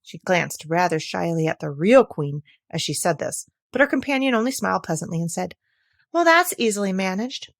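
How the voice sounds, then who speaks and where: A woman narrating a classic children's story aloud in an expressive, scripted storytelling style. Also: lowish voice, a little fast, gravelly, hardly any pauses, no room sound, clean studio sound. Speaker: audiobook reader.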